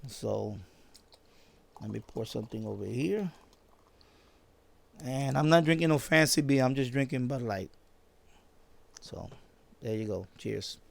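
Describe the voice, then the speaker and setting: A man's voice: medium pitch, lively intonation, unhurried, creaky, long pauses. A fifty-year-old man from the Bronx with a New York accent, chatting casually and rambling informally on a live stream, often repeating his phrases. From born in Puerto Rico, grew up in the Bronx, New York. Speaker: live stream host, shortwave radio hobbyist. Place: basement at home.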